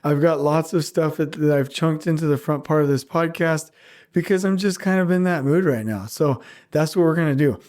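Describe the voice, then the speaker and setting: A man's voice: fairly high pitch, normal speed, a little mellow. A man hosting his own podcast alone from a small backyard shed, talking in a giddy, rambling way and laughing often, his voice just recovered. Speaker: podcast host. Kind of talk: casual solo podcast talk.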